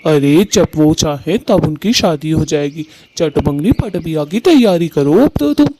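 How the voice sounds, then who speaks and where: A man's voice: a little high, expressive, unhurried, background noise. A man voicing an earnest, devoted male bird in a Hindi family cartoon, speaking affectionately. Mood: surprised.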